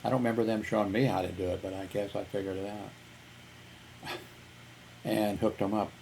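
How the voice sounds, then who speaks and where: A man's voice: lowish voice, little pitch movement, normal speed, vocal fry, darkish tone, slight background noise, poor audio. A man telling personal stories in a rambling vlog, filling gaps with "you know" and chuckling. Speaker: YouTuber. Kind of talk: casual storytelling vlog.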